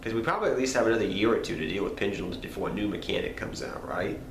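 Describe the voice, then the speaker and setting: A man's voice: lowish voice, expressive, racing, gravelly. A man with a cold, sounding nasal, talking casually and rambling to his channel viewers. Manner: rambling, repeats himself, apologetic. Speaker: card game channel host. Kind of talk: casual talk to camera. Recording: echoey room, faint background noise.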